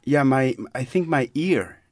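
Man, thoughtful and unhurried, dry close-miked read. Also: mid-range voice, a little mellow, no echo. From Chile. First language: Spanish. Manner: thoughtful, searching for words in a second language. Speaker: guest. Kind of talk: casual conversational interview.

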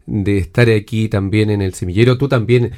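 A man with a Chilean Spanish accent hosting a conversational university radio interview, speaking in long, reflective, measured sentences. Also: on the low side, steady tone, a little mellow.

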